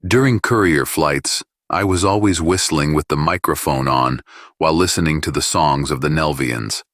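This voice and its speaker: Awkward, sheepish male pilot, voiced by a man reading, low bass, dry audiobook dialogue. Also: normal speed, fairly bright tone, no room sound, exaggerated voice. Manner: hesitant, embarrassed.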